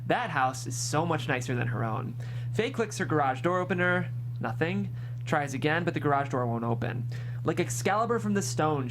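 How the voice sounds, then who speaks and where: A host narrating a screenplay's stage directions at a table read, in an expressive, dramatic way. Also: mid-range voice. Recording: faint background room noise.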